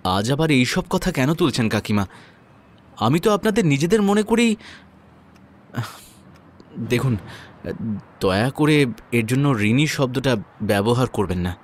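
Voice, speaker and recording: A man narrating a first-person audio story and acting its dialogue, in a measured, earnest way. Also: mid-range voice, very animated. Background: new-age music.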